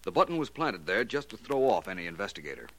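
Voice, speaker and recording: An actor playing a suave, self-assured gentleman detective, polished and slightly superior, in a 1940s radio crime drama style. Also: sits low, very animated, fast, full character voice. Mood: knowing, incisive.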